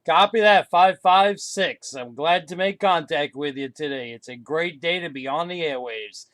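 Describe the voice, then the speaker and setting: A man's voice: fairly high pitch, moderate pace, fairly bright tone. A man working as a CB radio operator, calling out contacts in a friendly way, repeating call signs and signing off.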